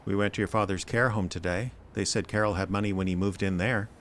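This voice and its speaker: Low-voiced wry detective narrator played by a man. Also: quick pace, a little hiss or hum, natural voice. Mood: mild, probing. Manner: dry, deadpan, conversational. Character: wry small-town male private investigator, world-weary narrator. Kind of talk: first-person detective radio drama narration.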